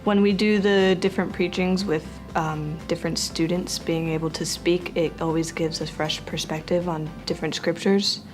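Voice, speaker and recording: A woman's voice: lowish voice, moderate pace, hardly any pauses. An eighteen-year-old woman giving an earnest testimonial about her church group, speaking steadily with the odd "um". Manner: earnest, steady, with filler ums. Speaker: church young adults group member. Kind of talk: testimonial interview. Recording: music bed underneath.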